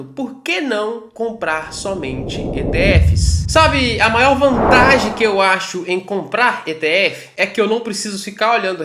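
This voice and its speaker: A man's voice: sits high, roomy sound. A man in his twenties with a Brazilian Portuguese accent, explaining investing to his viewers in a casual, chatty way. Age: 20s. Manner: chatty, keeps addressing viewers as "galera", swings between loud and quiet. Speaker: finance YouTuber.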